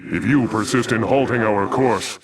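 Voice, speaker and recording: Deep, solemn alien commander played by a man. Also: recorded from a distance, a crowd in the background, natural voice. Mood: stern, defiant. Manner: formal, measured, grave. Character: noble, solemn alien Protoss commander, calm and resolute. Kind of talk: scripted video game acting.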